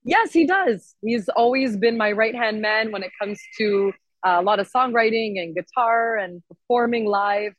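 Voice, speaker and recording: Woman, musician guest, enthusiastic and expressive, casual interview chat, dry close sound. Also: fairly high pitch, normal speed, no echo, highs cut off. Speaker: singer-songwriter guest.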